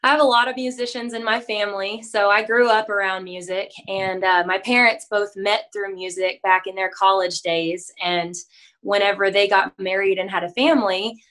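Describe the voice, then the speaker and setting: A woman's voice: mid-range voice, steady tone, normal speed, a bit bright, rough recording. A young woman who is a country singer, talking with a podcast host in a friendly, chatty way with frequent fillers, from a room at home over a video call. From Texas. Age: young adult. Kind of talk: casual interview chat. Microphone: video call, webcam.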